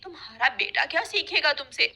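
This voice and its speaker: Woman as a sharp nagging cartoon crow wife. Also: high voice, expressive, fairly quick, voice pushed up, full character voice. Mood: exasperated. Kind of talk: cartoon story voice acting.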